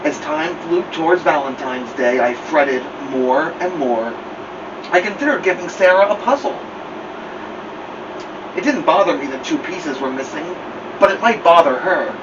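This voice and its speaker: A man reading a children's picture book aloud as a storytime host, expressively, with drawn-out emphasis. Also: a little high, expressive. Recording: muffled, clear background noise, slightly distant.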